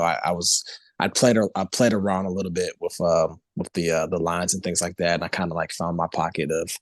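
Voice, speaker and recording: Deep voiced guy in his 30s, laid back interview chat, lots of um and like, dry close sound. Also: dry recording. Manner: relaxed, rambling, many fillers and restarts. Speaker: voice actor guest.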